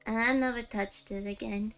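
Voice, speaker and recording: A woman's voice: fairly high pitch, steady tone, normal speed, fairly bright tone, rough recording. A woman streaming a video game, chatting casually in a rambling, self-interrupting way. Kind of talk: casual chat while gaming. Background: game music.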